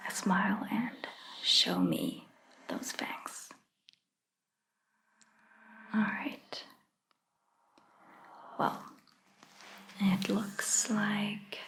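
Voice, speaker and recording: A woman performing a soft-spoken ASMR roleplay as a caring vampire doctor, whispering breathily in a gentle, reassuring manner. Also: medium pitch, creaky, slight background noise. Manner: gentle, reassuring, slow and deliberate.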